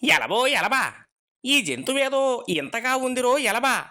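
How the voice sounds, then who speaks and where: A woman's voice: on the high side, very animated, takes it slowly, sharp tone, full character voice. A woman voicing panicky little dwarf villagers who chatter in a rustic dialect with a chanted refrain.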